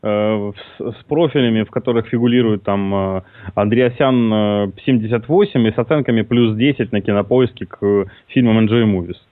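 A man asking interview questions over the phone in a measured, questioning way. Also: on the low side, no echo. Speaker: prank caller posing as interviewer. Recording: dry tinny phone line.

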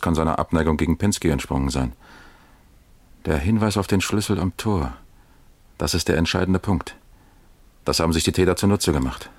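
An actor playing a wry, unhurried police captain in a German radio crime drama, with a dry, matter-of-fact delivery. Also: male voice, a bass voice.

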